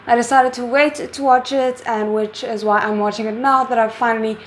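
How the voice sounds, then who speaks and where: A woman hosting her own reaction video, talking casually and excitedly, thinking out loud. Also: a little high, brisk, a little hiss or hum. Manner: excited, chatty, thinks aloud. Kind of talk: casual chat.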